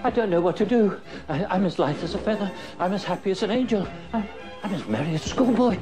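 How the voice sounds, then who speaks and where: A man's voice: high-pitched, lively intonation, fast, over music. A man playing an emotional old miser in a theatrical storybook drama. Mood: giddy, joyful.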